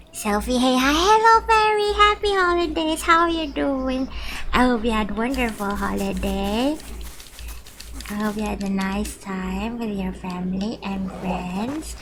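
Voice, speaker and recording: Slow-talking playful woman. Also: mid-range voice, noisy background. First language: Filipino. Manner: playful, giggly, chatting to viewers. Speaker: VTuber streamer. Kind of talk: casual gameplay commentary. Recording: game music playing behind.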